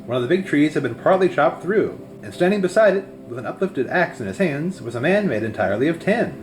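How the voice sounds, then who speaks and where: Animated male storybook narrator over music. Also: a little high, a little fast, low-quality recording. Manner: expressive read-aloud delivery. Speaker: storyteller reading aloud. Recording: music behind the voice.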